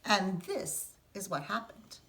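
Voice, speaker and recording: A woman reading a children's picture book aloud in a put-on Italian accent, telling it in a lively, expressive way. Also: medium pitch, takes it slowly.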